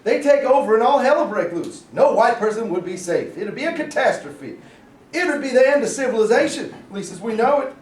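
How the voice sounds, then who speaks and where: Man acting excitable high-voiced alarmist official. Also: a little fast. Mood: alarmed. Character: agitated segregationist state official, excitable, alarmist Southern man. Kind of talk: staged play reading. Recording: roomy, distant mic, faint noise.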